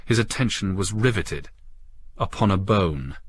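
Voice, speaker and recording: A man reading a suspenseful story as an audiobook narrator, in a measured, grave, dramatic storytelling style. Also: lowish voice, expressive.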